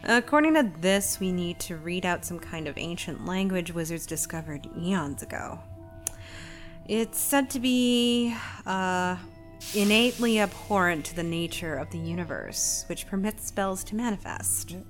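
Snarky, lively young female assistant. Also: lowish voice, unhurried, soft background music. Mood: sardonic, impatient. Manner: sarcastic, quippy. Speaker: actor in an audio drama. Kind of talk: scripted comedic audio drama acting.

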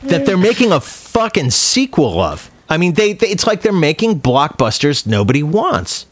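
A man's voice: a little high, huge pitch swings, no room sound. A man co-hosting a podcast in casual, opinionated chat, swearing freely and often restarting his phrases. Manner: profane, opinionated, halting with repeated words. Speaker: podcast co-host. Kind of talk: casual chat about films and music.

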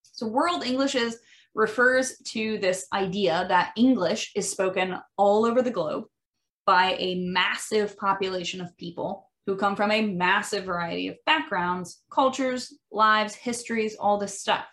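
A woman with a Midwestern American accent talks through an educational topic at a middle pitch. She speaks thoughtfully, often pausing on ums and restarting her sentences as she works out her points. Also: a bit bright. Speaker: podcast host. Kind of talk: educational monologue.

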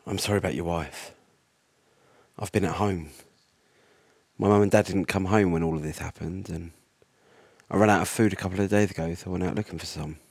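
A man's voice: low voice, steady tone, a little fast, a little mellow, husky, no echo. A man voicing a terrified young woman who is short of breath. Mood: frightened. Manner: frightened, breathless.

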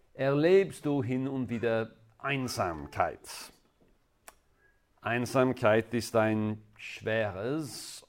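Man, English accent, native English speaker, guest preacher, sermon, lowish pitch, very slow pace, halting deliberate phrasing. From England. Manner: halting, deliberate delivery in non-native German.

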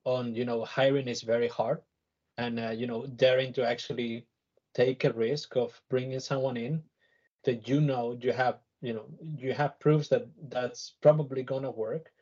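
A man with a husky voice giving his opinion as a panel guest, earnest and repeating words as he thinks. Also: medium pitch, fairly even intonation, normal speed, a little mellow, muffled recording. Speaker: panel guest, tech director. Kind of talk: panel discussion, conversational opinion.

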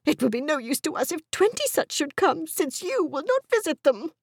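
A woman voicing a fussy, excitable, scheming middle-aged mother in a period novel audiobook, with a fluttery, gushing, exclaiming manner. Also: high-pitched, normal speed, no echo, clean studio sound, pitched above the performer's own voice. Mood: plaintive.

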